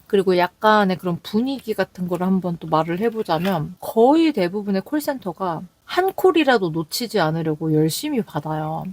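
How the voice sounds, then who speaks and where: A woman's voice: on the low side, lively intonation, moderate pace, few pauses, a little mellow. A young woman talking candidly about her call center work in a casual vlog, with a Seoul accent. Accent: Seoul Korean. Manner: chatty, candid storytelling with quoted callers. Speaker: call center agent sharing work stories.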